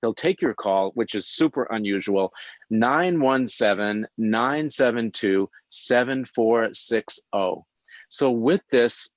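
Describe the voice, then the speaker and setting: A man's voice: medium pitch, dry recording. A man hosting a health podcast, giving an upbeat, promotional introduction of his guest. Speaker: show host. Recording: dry, dull lo-fi sound.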